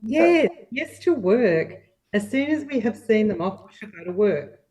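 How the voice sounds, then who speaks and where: A woman playing an earnest, dutiful young niece with a soft, entreating manner in an amateur play reading. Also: mid-range voice, very animated, measured pace. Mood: urging.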